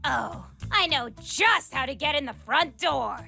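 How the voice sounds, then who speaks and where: A cocky, hot-headed young villainess, acted by a woman, pitched very high with a bright edge. She is confrontational and swings around in pitch, like a cartoon troublemaker in a comic dub. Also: lively intonation, a little slow, gravelly, soft background music, heavily acted.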